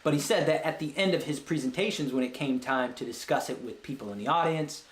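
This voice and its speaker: A man with a slightly high voice talks through business ideas as the host of a read-along. His delivery is casual and rambling, as if working it out aloud, and he checks in with the listener. The recording is distinctly roomy and echoey. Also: fast, a little hiss or hum. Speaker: host of a business book read-along show, web agency owner. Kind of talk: casual explanatory talk with read-out book passages.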